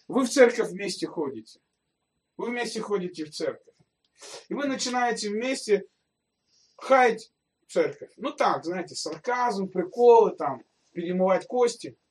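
A man's voice: high voice, animated, normal speed, distant mic. A male pastor giving a sermon in a conversational way, speaking straight to his listeners and asking them rhetorical questions.

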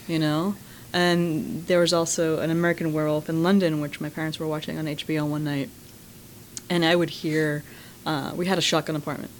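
This woman speaks in a low, deep register and tells her stories in a loose, animated way, swearing freely and laughing as she goes. She sounds like a guest on a casual interview, and there is a faint hum of noise behind her. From Massachusetts, US. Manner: profane, animated storytelling with laughs. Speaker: guest, horror filmmaker and writer.

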